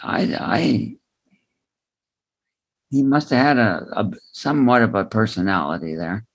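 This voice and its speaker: A man chatting in a group discussion, halting and repeating words as he searches for the next one. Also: lowish voice, animated, vocal fry, no room sound. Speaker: book club participant.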